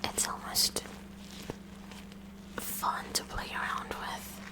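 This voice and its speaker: A woman's voice: slow pace, lightly acted, rough recording. A woman playing a whispering, kooky science club girl. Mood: curious observing. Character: kooky, curious high school science club president, girl. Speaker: ASMR roleplayer. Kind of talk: whispered ASMR roleplay.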